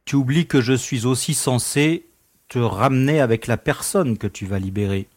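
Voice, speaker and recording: An insistent male space adventurer played by an actor in a sci-fi audio drama. His voice is mid-pitched and earnest, persuasive toward his companion, recorded close and dry. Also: moderate pace, dry recording, natural voice.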